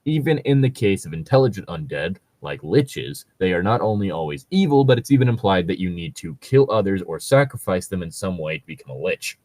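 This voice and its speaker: A man co-hosting a casual commentary chat about gaming stories, talking in a rambling, halting way. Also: low voice, sing-song, a little mellow, no echo. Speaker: co-host reading and commenting on tabletop gaming stories.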